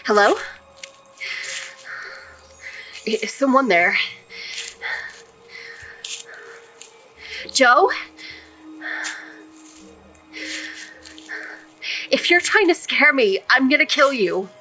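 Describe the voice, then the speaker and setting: A woman's voice: sits high, sing-song, moderate pace, bright, forceful tone, soft background music, full character voice. A young woman playing a cheerleader out on a hike in an audio horror drama. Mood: uneasy.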